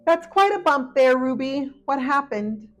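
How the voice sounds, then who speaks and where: A woman voicing a kindly, encouraging woman school principal in a children's storybook, gentle and attentive. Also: high voice, lively intonation, measured pace, faint music behind, voice pushed up. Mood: concerned.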